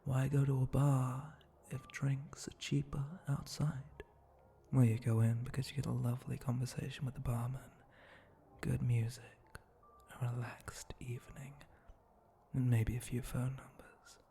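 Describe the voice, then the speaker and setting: A gravelly, mellow male monster bartender, acted by a man, flirting slowly and teasingly in an intimate ASMR roleplay. His voice is breathy, often slipping toward a whisper, with suggestive pauses and hums. Faint noise sits behind him. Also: medium pitch, little pitch movement. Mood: languid, musing. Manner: slow, languid, suggestive drawl with pauses and hums. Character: flirty, teasing spider-monster bartender, smooth-talking seducer.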